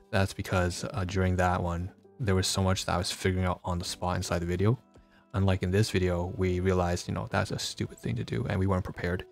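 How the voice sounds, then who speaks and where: A man's voice: low-pitched, gravelly. A man streaming a casual vlog, rambling with lots of fillers and restarts, sounding congested. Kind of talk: casual livestream vlog talk. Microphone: phone.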